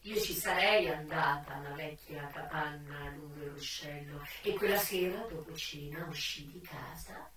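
Actress as a frank, dramatic madam in echoing space. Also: female voice, sits low, moderate pace, a little hiss or hum, pitched below the performer's own voice. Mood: hushed, reflective. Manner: dramatic, intense, swinging from hushed to loud. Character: worldly American madam recalling her youth, frank, sensual. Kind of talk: theatrical narrated memoir. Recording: echoey, distant stage recording with music.

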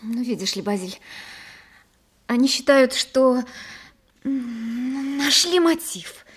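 An anxious, insistent young woman in a radio play. Her voice sits on the high side and is emotional and pointed, with theatrical urgency. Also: slow, slightly rough, exaggerated voice. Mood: confiding, hesitant. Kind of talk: Russian radio play acting.

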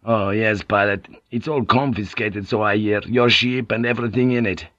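A man doing a loud, coarse old-world sea pilot. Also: on the low side, moderate pace, no echo, close to an everyday voice. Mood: boisterous. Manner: loud, jovial, profane. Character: boisterous, coarse, swaggering male sea pilot. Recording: dull cassette audiobook tape.

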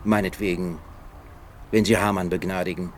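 Deep, curt, defiant journalist, played by a man. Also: fairly even intonation, moderate pace, a little hiss or hum, natural voice. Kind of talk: radio drama acting.

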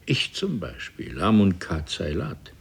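An actor playing a solemn, mystical time traveller with a husky, gravelly voice and a grandiose, incantatory delivery in a radio play. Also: male voice, sits low, wildly swooping pitch, unhurried, full character voice. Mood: ominous, proud. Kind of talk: theatrical radio play acting.

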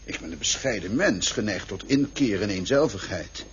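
An actor in an absurdist radio play, playing an evasive, self-effacing traveller with a formal, contrary manner. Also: male voice, fairly low pitch, expressive, moderate pace, a little hiss or hum, natural voice. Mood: loftily evasive. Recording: muffled old radio drama recording.

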